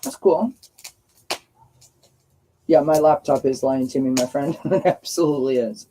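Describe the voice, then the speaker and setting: A woman's voice: deep voice, a little slow, dark tone. A woman with a scratchy voice chats casually and in a friendly way with live viewers as she hosts a card-reading stream. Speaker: livestream host reading oracle cards. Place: outdoors by a firepit.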